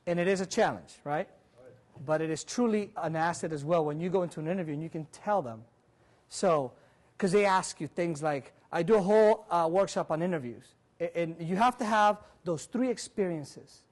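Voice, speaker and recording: Male seminar speaker in his 40s with a fairly high voice, lively and audience-quizzing, recorded from across a conference room. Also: moderate pace. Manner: animated, walks about and gestures, quizzes the audience. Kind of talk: interactive career seminar talk. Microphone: far camera, room mic.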